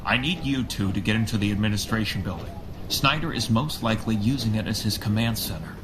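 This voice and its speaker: An actor playing a calm, resolute hero leading his allies in a pulp radio drama. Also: lowish voice, fast, background noise, natural voice.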